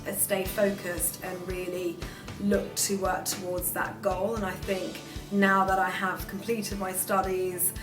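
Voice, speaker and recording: A woman speaks steadily and earnestly, with little rise and fall in her voice. She is heard from a distance in an echoey room. Clear music runs underneath. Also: on the low side. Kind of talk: personal story in an interview.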